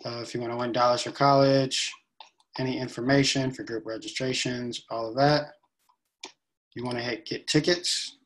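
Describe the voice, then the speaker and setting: A young man giving a relaxed step-by-step tutorial, with frequent ums, recorded on a laptop webcam in a room at home. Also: medium pitch, normal speed. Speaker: event staff giving a tutorial. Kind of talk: casual screen-share walkthrough.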